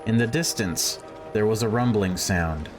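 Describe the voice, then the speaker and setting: A man reading a visual novel aloud as a let's player, mixing expressive narration with wry, casual asides. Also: fairly low pitch, unhurried, over music. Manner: wry, joking commentary between read passages.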